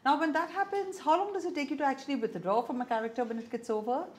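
A woman in her fifties from India interviews a guest in a small lamp-lit room. Her voice sits a little high, and her questions wander with fillers before landing. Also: fast. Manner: long rambling questions with fillers.

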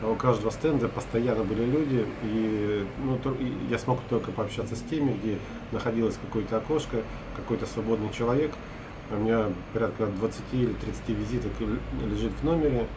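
Russian broker, 40s, lowish voice, halting and filler-heavy, hotel-room webcam recording with audible hum. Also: male voice. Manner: hesitant, many filler sounds and restarts. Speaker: property broker. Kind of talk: casual recap to camera. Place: hotel room at night, window behind.

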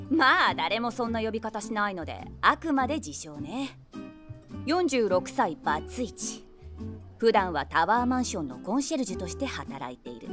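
A woman's voice: mid-range voice, natural voice. An actress playing a wry woman of forty-six, a self-deprecating eavesdropper narrating an audio drama conversationally in the first person. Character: wry 46-year-old woman, eavesdropping daydreamer.

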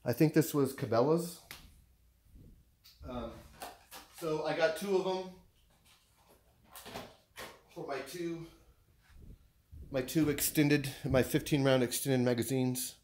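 A man with an American accent chatting casually and haltingly, thinking aloud as he shows off purchases in a living room. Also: medium pitch, a little mellow, slight background noise. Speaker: vlogger showing purchases. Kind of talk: casual unboxing chat.

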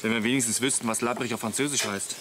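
A man's voice: mid-range voice, fairly even intonation, rapid-fire, background noise, lightly acted. A man playing a mild, fretful, earnest friend in a German comedy radio play, a little pedantic and plaintive. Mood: wry. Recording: clear outdoor ambience with animals behind.

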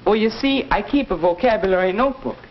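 An actor playing an earnest, articulate young American student son who explains things patiently in a muffled 1940s educational film. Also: male voice, high-pitched, fast.

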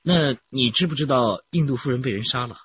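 A steady male detective asks measured, probing questions in a mid-range voice, as an actor plays him. It comes through dull lo-fi radio drama audio with a faint hiss. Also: medium pitch, close to an everyday voice. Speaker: police detective. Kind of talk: broadcast radio drama acting.

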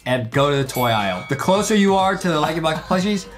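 Young male host, lively swooping pitch, excitable slang-heavy reaction commentary, repeats himself, music underneath. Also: fast talker. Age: young adult. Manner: exclamatory, slangy, repeats phrases for emphasis. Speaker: YouTube host. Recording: music bed behind the voice.

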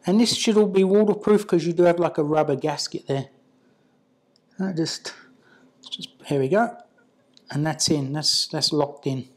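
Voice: mid-range voice, measured pace, long pauses. A person giving an informal hands-on installation tutorial, muttering to themselves while working. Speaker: product installer and reviewer. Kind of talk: casual hands-on tutorial narration.

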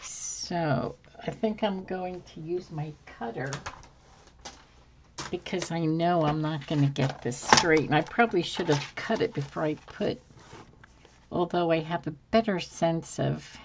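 A woman hosting a casual crafting video at her craft table, a bit hoarse, thinking aloud as she works with many ums. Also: low-pitched, measured pace, lo-fi sound, background noise. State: slightly hoarse from dry throat. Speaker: craft channel host. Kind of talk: casual crafting tutorial chat. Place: home craft table, indoors.

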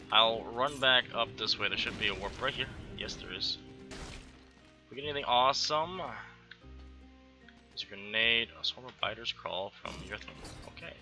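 A man with a light Texan accent talks his way through a video game into a headset, chatty and quick to exclaim. His voice is sharp and bright, middling in pitch, with faint game music underneath. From Texas. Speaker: gaming channel host. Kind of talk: casual gameplay commentary.

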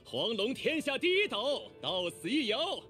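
A man's voice: very high-pitched, pushed and bright, exaggerated voice. A man voicing a swaggering, hot-blooded young oni gang boss in a video game, boastful, theatrical and rowdy. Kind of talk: animated video game character voice lines.

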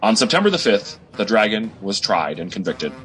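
A man's voice: fairly low pitch, very animated, moderate pace, a bit bright. A man acting a solemn, formal announcer narrating a radio crime drama parody. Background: music.

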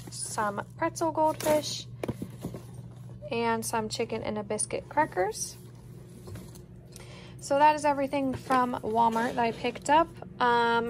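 An American woman with a fairly high voice chats casually through a household vlog. She sounds easygoing and plainspoken. The recording is homemade, in a kitchen, with clear background noise. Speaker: home vlogger. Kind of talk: casual grocery haul and meal plan narration. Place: home kitchen, indoors.

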